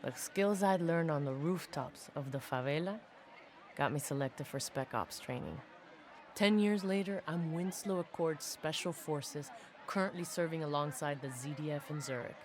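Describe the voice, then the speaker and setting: A woman's voice: low voice, moderate pace, a little mellow, some chatter behind, slight background noise, lightly acted. A woman playing a young special forces soldier from the slums, telling her story in a confiding, casual game audio log. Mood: wry, proud. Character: young female special forces soldier, tough favela-raised street kid. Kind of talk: dramatic first-person audio log narration.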